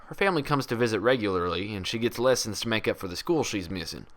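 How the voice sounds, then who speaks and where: A man's voice: on the low side, expressive, quick pace, fairly bright tone. A man voicing a frank, proud, friendly female pony doctor with a folksy way of talking, in a story reading. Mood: frank, explaining.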